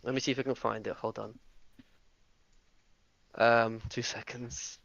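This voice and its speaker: Brief: man from Russia, group host, quick stop-start talker, dry lo-fi call audio. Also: mid-range voice, flat delivery, creaky, fairly bright tone, dry recording. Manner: eager, talks in bursts with many restarts and fillers. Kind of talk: casual group voice chat.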